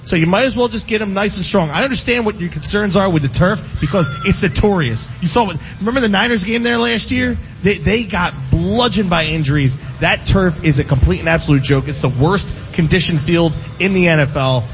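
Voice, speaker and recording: A man's voice: high-pitched, fairly quick, few pauses. A man co-hosting a sports radio show, opinionated and joking in casual talk. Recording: muffled, reverberant noisy room.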